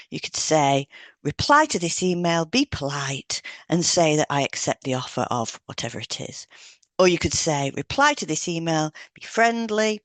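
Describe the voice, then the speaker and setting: A woman's voice: low-pitched, a bit bright, dry recording. Woman explaining, close dry sound. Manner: explanatory, instructional. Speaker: podcast co-host, hypnotherapist. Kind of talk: scripted podcast narration with informal asides.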